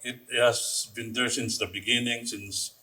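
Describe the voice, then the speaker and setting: A Filipino man with a husky voice answering conversationally as an interview guest. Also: medium pitch, flat delivery, measured pace, bright, forceful tone, rough recording. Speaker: radio drama team member, guest. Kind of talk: conversational interview answers, mixing Tagalog and English.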